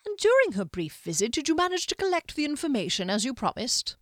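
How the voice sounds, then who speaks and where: A sly male wizard, put on by a woman reading aloud, speaks in a slow, smug drawl. His calm carries quiet menace. The recording is dry and close. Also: high-pitched, no echo, voice pushed up. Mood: mocking.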